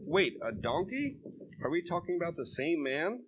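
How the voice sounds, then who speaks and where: A man's voice: a little high, sing-song, normal speed, a crowd in the background. A man playing a skeptical townsman who keeps questioning, in an amateur church dramatic reading. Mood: doubtful. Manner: doubting, questioning.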